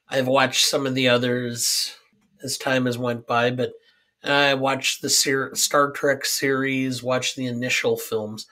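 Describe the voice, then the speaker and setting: An older man from the upper Midwest, co-hosting a podcast in casual chat, his voice hoarse from a cold and his talk rambling with hesitant fillers. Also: medium pitch, fairly bright tone. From upper Midwest of the United States. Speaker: co-host.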